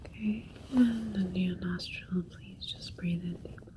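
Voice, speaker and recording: Slow whispering alien doctor, acted by a woman. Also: on the low side, steady tone, natural voice. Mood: calm, clinical. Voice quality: breathy whisper. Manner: soft, slow, soothing, methodical instructions. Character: calm alien doctor woman, gentle and clinical. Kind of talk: ASMR sci-fi roleplay. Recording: faint ambient noise. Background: faint spaceship ambience.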